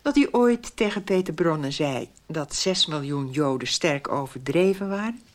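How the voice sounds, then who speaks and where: A woman's voice: sits low, expressive, a little slow. A woman reading lines for a radio drama in rehearsal takes. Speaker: performer in radio drama recording.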